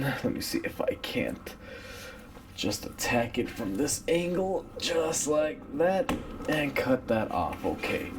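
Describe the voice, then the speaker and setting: A man with a cold and a raspy voice, talking casually through a repair step by step with plenty of fillers. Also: medium pitch, noisy background. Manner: relaxed, rambling with many uh fillers. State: has a cold. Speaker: mechanic demonstrating a repair. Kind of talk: casual how-to tutorial narration.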